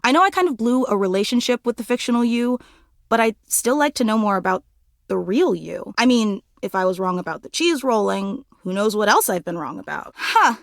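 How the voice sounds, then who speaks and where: A young woman voicing an excitable, starstruck female fan character in a video game, with dramatic, gushing delivery and casual youthful phrasing. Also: a little high, no room sound, studio quality. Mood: shy, hopeful. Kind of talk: video game voice acting, romance dialogue.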